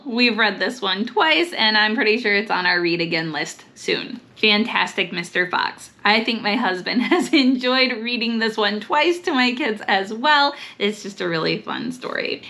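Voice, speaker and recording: A woman's voice: on the high side, sharp tone. A woman giving upbeat book recommendations as a vlog host, speaking with enthusiasm and emphasis. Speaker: host, homeschool mom recommending books.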